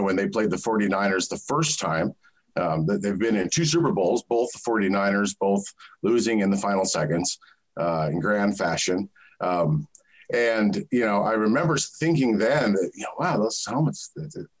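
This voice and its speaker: A man in his forties talks as an interview guest. His voice sits on the low side, and he tells his memories haltingly, often stopping to restart his sentences. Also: slightly rough, rough recording. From South Central Alaska. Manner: halting, reminiscing, with many restarts. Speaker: guest, sports fan. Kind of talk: conversational interview.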